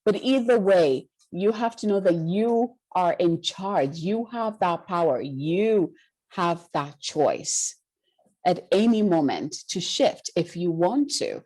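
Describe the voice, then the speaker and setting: Woman from Ghana, deep register, earnest and emphatic; spiritual talk host. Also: lively intonation, takes it slowly, darkish tone, poor audio. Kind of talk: spiritual conversation.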